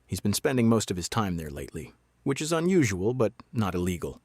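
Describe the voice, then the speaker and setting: An actor playing a guarded small-town sheriff in a noir audio drama, laconic, deadpan and measured. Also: male voice, on the low side, breathy edge, dry recording, clean studio sound.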